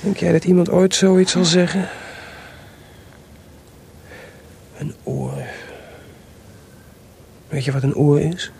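A man's voice: high-pitched, a little slow, creaky, a little mellow, slight background noise. A man playing an anxious, frustrated court composer in a radio drama, agitated and hesitant in manner. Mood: bitter.

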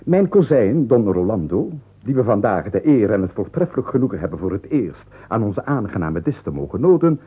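An actor playing a scheming, sugary, florid husband with a husky voice and a Flemish accent in a comic radio play. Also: male voice, on the low side, big pitch swings, a little fast, phone-quality sound. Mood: mock-solemn, triumphant. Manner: florid, mock-formal, teasing. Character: gleeful, scheming husband, mockingly courtly and sugary. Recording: band-limited 1980s radio play.

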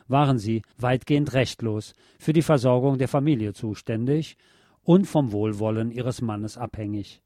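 A man reading a scripted historical documentary for radio in a measured, formal manner. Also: medium pitch, warm tone, no room sound, studio quality. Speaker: radio narrator.

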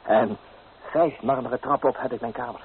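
A man's voice: mid-range voice, fairly quick, close to an everyday voice. Man acting impetuous young lover, mid-range voice, coaxing, dramatic, radio drama, band-limited noisy recording. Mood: ardent, persuasive. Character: impetuous, persuasive young student lover, romantic and scornful. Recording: tinny, noisy old radio play.

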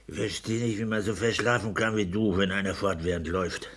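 Deep, blustering petty-bourgeois husband. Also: male voice, steady tone, a little fast, fairly bright tone, husky. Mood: scolding, blustering. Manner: scolding, blustering, self-satisfied. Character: pompous petty-bourgeois husband, a domineering clerk. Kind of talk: 1960s German radio play acting.

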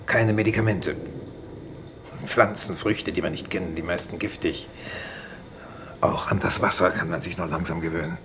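Low-voiced, stoic exiled doctor. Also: male voice, steady tone, normal speed, long pauses. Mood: resigned, grave. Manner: laconic, sober, matter-of-fact. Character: resigned stoic exile doctor on a harsh planet. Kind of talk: 1950s German radio play acting. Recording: noisy 1950s radio recording.

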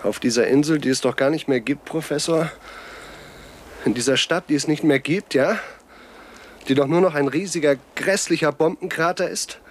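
An actor playing a stubborn, suspicious explorer in a 1970s German radio drama, speaking in terse, probing phrases. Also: male voice, fairly high pitch, normal speed, lightly acted. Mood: accusing. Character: stubborn, suspicious male explorer alone on a deserted island.

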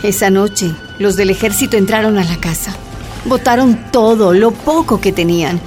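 A woman narrating a scripted radio drama as a storyteller, with dramatic pauses. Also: medium pitch, a little mellow, soft background music.

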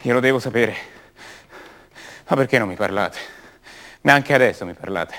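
An actor playing an anguished young son of a disappeared mother in a radio drama monologue, halting and repeating words with intensity. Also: medium pitch, a bit bright, natural voice. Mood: bitter, pleading. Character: anguished young son of a disappeared woman, raised by her captors.

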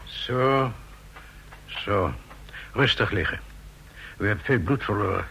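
Deep, crisp village doctor. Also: male voice, slow pace, pushed and bright, a little hiss or hum, exaggerated voice. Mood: calm, brisk. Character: calm, matter-of-fact male village doctor. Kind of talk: radio drama acting.

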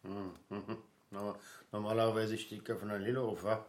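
An actor playing an ailing, grumbling older father with a halting, mumbled delivery and a Berlin accent. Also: fairly low pitch, little pitch movement, natural voice. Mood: grumbling, resigned. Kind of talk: naturalistic German radio drama. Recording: echoey room, faint noise.

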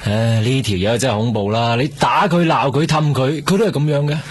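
An actor playing a chatty, grumbling young detective in a radio drama. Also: male voice, medium pitch, a little fast, slight background noise, lightly acted.

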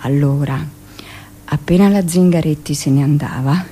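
An actress playing a hardened midwife, recounting hospital memories matter-of-factly in a theatrical radio monologue. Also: female voice, low voice, normal speed, warm tone, husky. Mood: matter-of-fact, grim.

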